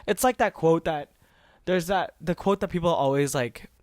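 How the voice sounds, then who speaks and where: A man's voice: a little high, normal speed, dry recording, clean studio sound. A man in his twenties, a podcast host raised in Texas and California, rambling passionately in a casual monologue with some swearing.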